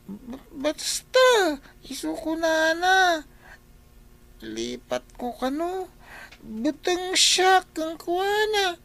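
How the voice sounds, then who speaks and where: A woman's voice: very high voice, huge pitch swings, very slow, gravelly, long pauses, fairly bright tone, full character voice. A woman voicing a fretful small boy who stammers and whines in a radio drama. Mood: evasive. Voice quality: stammering, whiny.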